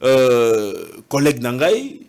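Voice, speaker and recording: A man's voice: mid-range voice, very animated, slow pace, a little mellow, smooth. A man from Congo with a Congolese French accent, an opposition party official, earnestly mixing Lingala and French in a casual interview.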